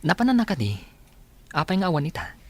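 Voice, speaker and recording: A man's voice: high-pitched, very animated, fast talker, a little mellow, no room sound. A man acting a generous, playful suitor who flirts and teases in a radio comedy drama. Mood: eager.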